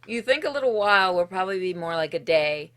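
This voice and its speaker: A woman reading a visual novel game's narration aloud in an expressive storytelling way, with casual, dryly humorous asides. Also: on the low side, fast talker, clear, resonant tone. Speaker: streamer playing and narrating a game.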